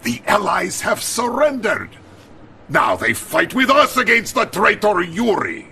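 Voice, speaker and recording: An actor playing a jovial, pompous middle-aged Soviet premier with a Russian accent in a video game cutscene. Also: male voice, sits high, very animated, creaky, sharp tone, a little hiss or hum, full character voice. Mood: rousing, triumphant. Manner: pompous, theatrical, slow deliberate delivery.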